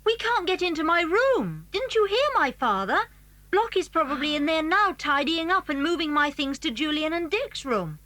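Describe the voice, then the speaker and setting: A plucky British schoolboy adventurer in a children's audio drama. The voice is high, bright and animated, always scheming, recorded close and dry. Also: female voice, moderate pace, dry recording, exaggerated voice. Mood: worried, urgent.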